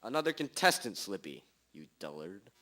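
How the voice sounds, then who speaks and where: A man's voice: sing-song, normal speed, bright, forceful tone, exaggerated voice. A young-sounding amateur actor, a man playing an impatient, self-important private detective with formal, theatrical delivery in a radio drama. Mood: deducing. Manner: formal, theatrical interrogating, condescending to sidekick. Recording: low-quality amateur recording.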